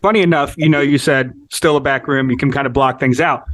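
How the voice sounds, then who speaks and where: A man's voice: mid-range voice, steady tone, fairly quick, fairly bright tone, no room sound. Curious male podcast host, dry mic. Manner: curious, asks long rambling questions. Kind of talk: casual podcast interview.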